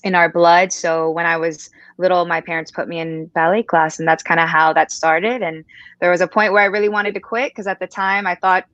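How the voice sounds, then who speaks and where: A woman's voice: fairly low pitch, flat delivery, brisk, fairly bright tone, no room sound, highs cut off. A woman dancer chatting on a panel, saying "like" a lot. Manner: chatty, says "like" often. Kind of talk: panel conversation.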